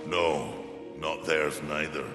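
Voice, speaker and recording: A man's voice: extremely low pitch, flat delivery, slow pace. An actor playing a burly, good-humored fantasy maker with a Scottish accent, hearty and earnest in his speech. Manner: hearty, plain-spoken, earnest. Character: burly, good-humored male maker, a stalwart weapon smith. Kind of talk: dramatic fantasy game acting. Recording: reverberant hall under game music.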